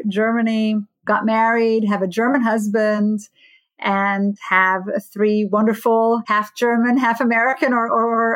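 A woman's voice: mid-range voice, no room sound. A woman from Romania, an author and academic, telling her life story as a podcast guest. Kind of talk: personal storytelling in interview.

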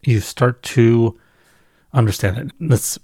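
A man speaks by himself in a very dry recording with little room sound. He has a mid-range voice and talks off the cuff like a podcaster. His delivery is conversational and thoughtful, using pauses and repeated phrases for emphasis. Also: mid-range voice, mellow, breathy edge, no echo. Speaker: podcast host and newsletter writer. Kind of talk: casual reflective solo monologue, off the cuff.

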